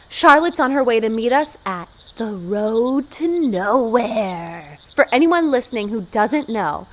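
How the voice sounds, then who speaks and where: A woman playing a bossy, mischievous teenage girl in a horror audio play. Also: fairly high pitch. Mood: excited, mischievous. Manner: bossy, teasing, animated.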